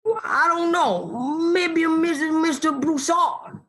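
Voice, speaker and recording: A man's voice: extremely high pitch, measured pace, a bit bright. A man playing a kindly, folksy old grandfather with a Southern US accent in an audio drama. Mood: reassuring.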